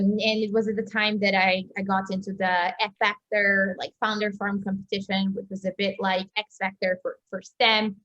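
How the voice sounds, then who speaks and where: A woman's voice: medium pitch, fairly bright tone. Young woman, slightly muffled recording. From Serbia. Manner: chatty, halting, many hedges and self-corrections. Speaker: interview guest, doctor and entrepreneur. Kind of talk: casual autobiographical talk.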